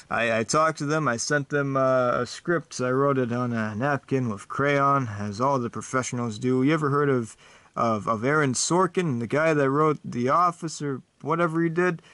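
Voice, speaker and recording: A man's voice: mid-range voice, a bit bright, no room sound, close to an everyday voice. A man voicing a boastful, clueless superhero who rambles and bumbles.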